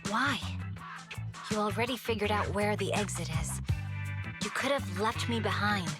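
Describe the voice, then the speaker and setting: A woman's voice: mid-range voice, normal speed, lightly acted. An actress playing a stoic, proud young noblewoman who wields a sword in a video game, speaking in a formal, composed, terse manner. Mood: serious, reserved. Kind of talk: dramatic video game voice acting. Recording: music behind the dialogue.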